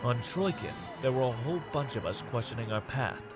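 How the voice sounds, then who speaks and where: A man's voice: medium pitch, lively intonation, brisk, music in the background, natural voice. A man voicing a level-headed Jedi in a comic dub, narrating in a dry, matter-of-fact way.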